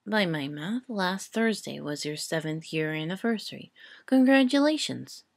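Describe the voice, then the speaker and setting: Woman voicing a brisk, dry male official. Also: lowish voice, animated, gravelly, no room sound. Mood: formal, dry. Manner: formal, matter-of-fact, dismissive. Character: brisk, dryly official male wizard clerk.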